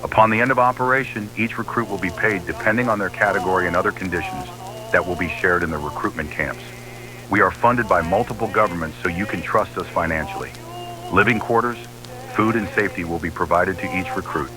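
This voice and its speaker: A man's voice: sits low, a little fast, music in the background, rough recording. A man reading a scripted recruitment announcement in a formal, official-sounding manner. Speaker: narrator of an analog horror tape.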